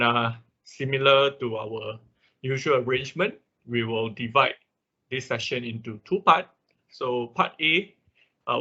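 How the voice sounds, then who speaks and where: A man giving an informal online class as an instructor, speaking haltingly with a husky voice and frequent repeats. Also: mid-range voice, bright, forceful tone. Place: online video call. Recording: dull lo-fi online meeting audio.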